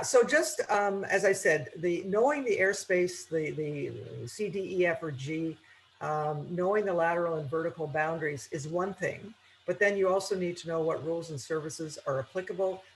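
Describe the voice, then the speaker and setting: A man's voice: fairly high pitch, slight background noise, rough recording. A man giving an informal instructional talk as an aviation instructor, explaining and stressing key points.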